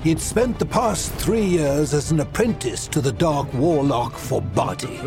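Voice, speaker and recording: An actor playing an ancient, grave warlock mentor in an audio drama, telling dark history in a portentous, deliberate way. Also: male voice, on the high side, lively intonation, over music. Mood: ominous.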